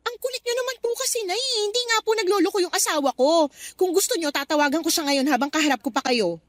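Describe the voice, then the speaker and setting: A woman playing a trusting, loyal middle-aged wife in a radio drama, earnest and quick to defend. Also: very high-pitched, animated, no room sound. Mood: indignant. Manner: pleading, earnest. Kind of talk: Tagalog radio drama acting.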